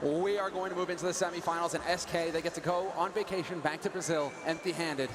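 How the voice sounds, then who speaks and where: A man commentating a live esports match with animated analysis that breaks into screams at the big plays, heard on an arena broadcast. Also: a little high, quick pace. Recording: arena broadcast with loud crowd and noise.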